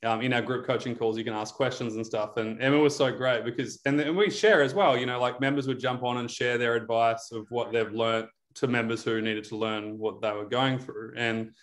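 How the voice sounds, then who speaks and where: A man's voice: mid-range voice, fairly even intonation, a little fast, few pauses. A man in his thirties interviewing a guest over a video call, chatty with lots of fillers and laughs. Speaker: interviewer, recovery program coach. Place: indoor room.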